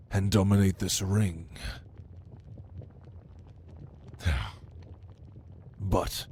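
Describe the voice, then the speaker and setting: A man voicing a prideful, menacing demon in an ASMR roleplay, speaking with deliberate mockery in low murmurs that dip toward a whisper. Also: a little mellow, a little hiss or hum. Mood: calculating, menacing. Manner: slow, deliberate, menacing, mocking. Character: sinister, prideful male demon, a prince's cunning right hand. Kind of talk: ASMR roleplay monologue to the listener.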